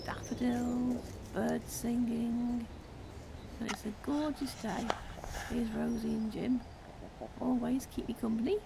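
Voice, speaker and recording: An English woman chatting casually and gently as she narrates a nature vlog outdoors. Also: a little high, steady tone, takes it slowly, gravelly. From England. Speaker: vlogger. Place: outdoors in an urban park. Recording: outdoor ambient noise, birdsong. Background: birds and park ambience.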